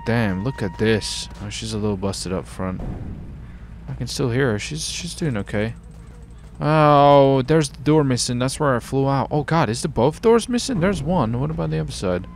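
A man with a creaky voice at middle pitch talks through a video game roleplay. He is casual and exclamatory, swearing now and then, with faint noise of game vehicles behind him. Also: animated, normal speed. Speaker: gamer, roleplay video host. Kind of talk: in-character game roleplay chat and commentary. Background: game ambience and vehicle sounds.

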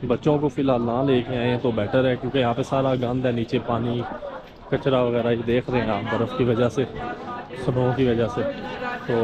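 A man with a Pakistani Urdu accent, chatting casually as a travel vlogger walking a snowy street and laughing at his own remarks. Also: medium pitch. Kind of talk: casual walking vlog commentary. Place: outdoors on a snowy busy street. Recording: crowded outdoor street noise. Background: crowd chatter.

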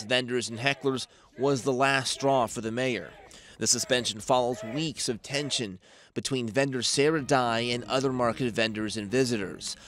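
A man narrating a local TV news report in an even, measured newsreader delivery. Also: medium pitch.

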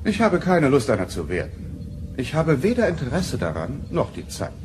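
An actor playing an arrogant, impatient starship propulsion expert in an audio drama, with a condescending, haughty manner. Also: male voice, medium pitch, huge pitch swings, moderate pace. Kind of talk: German audio drama acting. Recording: noisy 1990 cassette radio play.

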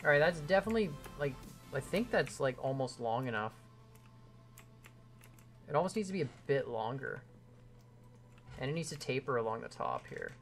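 A man's voice: mid-range voice, animated, moderate pace, creaky, pauses often, faint music behind. A young man streaming a game live from his home computer, chatting and rambling as he answers viewers. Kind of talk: casual live stream chat while building in a game.

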